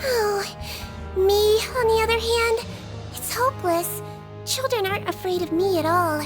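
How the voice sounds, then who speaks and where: Game role: small caring nurse creature, gentle, polite, very high-pitched, music underneath. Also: female voice, measured pace. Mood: wistful. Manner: gentle, earnest, softly polite. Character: gentle young Melusine nurse, small, sweet, earnest and caring. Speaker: doctor. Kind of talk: video game voice acting.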